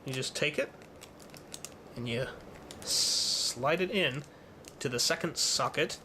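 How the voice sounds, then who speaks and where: Male hobbyist presenter, bright forward tone, casual halting tutorial delivery, noticeable background noise. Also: medium pitch. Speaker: hobbyist presenter of a toy-building how-to.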